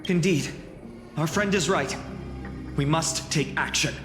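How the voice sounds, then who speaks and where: A man voices a brave young village hero in a fantasy game. He sounds high for a male, earnest and intense, echoing in long reverb with music behind. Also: a little slow, natural voice. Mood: resolute. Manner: intense, heartfelt, resolute. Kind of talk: dramatic scripted game acting.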